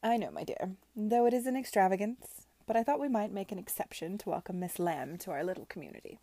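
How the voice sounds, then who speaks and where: A condescending, self-satisfied old lady of a period storybook, played by a woman reader. She is pompous and theatrical, patronising whoever she addresses. The voice is husky and mellow in a dry recording. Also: mid-range voice, fast, no echo, lightly acted. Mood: gratified. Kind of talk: audiobook character dialogue.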